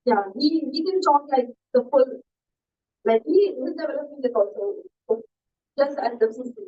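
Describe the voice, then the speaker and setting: A woman's voice: high-pitched, expressive, moderate pace, warm tone, lo-fi sound. A woman student answering classmates' questions after her presentation, with many fillers and restarts, on a video call.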